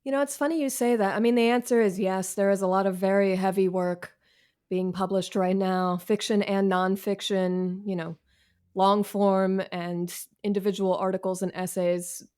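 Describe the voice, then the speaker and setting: A woman's voice: mid-range voice, level tone, normal speed, a little mellow, clean tone. A woman chatting casually as an interview guest, candid and wryly self-deprecating. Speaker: guest author and essayist.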